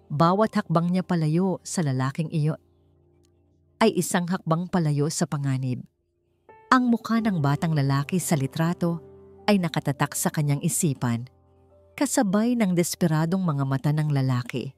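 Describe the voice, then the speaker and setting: A woman with a Filipino accent reading a dramatic story in a measured, emotive way. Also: lowish voice, a little mellow. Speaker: audiobook narrator. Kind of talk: dramatic scripted story narration. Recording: narration over background music.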